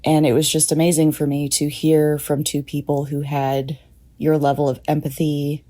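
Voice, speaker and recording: A woman giving a listener message in a sober, steady way. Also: deep voice, normal speed.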